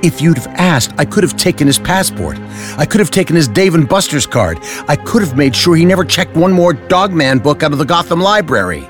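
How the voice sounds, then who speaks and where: A man voicing a high-strung, fussy male district attorney in a raised, pinched voice for a comic audiobook. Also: big pitch swings, fairly quick, faint music behind. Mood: heated.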